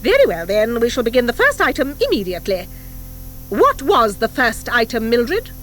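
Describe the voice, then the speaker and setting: A formidable witch schoolmistress, acted by a woman narrator, high and sweeping in pitch. She is crisp, precise and brisk, giving orders with piercing authority in a storybook style. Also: wildly swooping pitch, fairly bright tone, slight background noise. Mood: brisk, strict. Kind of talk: audiobook character acting. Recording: 1984 audiobook from cassette.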